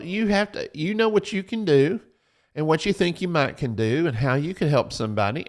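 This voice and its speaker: A man with a slightly raised voice talks to his viewers like a preacher at a pulpit. His delivery is folksy and repetitive, circling back to his points. He keeps telling people to write things down. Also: on the high side. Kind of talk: preaching-style financial advice talk.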